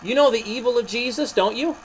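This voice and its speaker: A man reading a children's Bible story, playing a stern high priest who makes insistent, emphatic accusations. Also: a little fast, a little hiss or hum. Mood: urgent, inciting.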